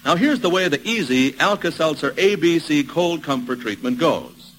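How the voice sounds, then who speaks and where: An American man giving a folksy, emphatic 1940s radio advertising pitch on a vintage recording. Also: expressive, normal speed, fairly bright tone. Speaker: radio announcer. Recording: vintage radio, faint noise, hum.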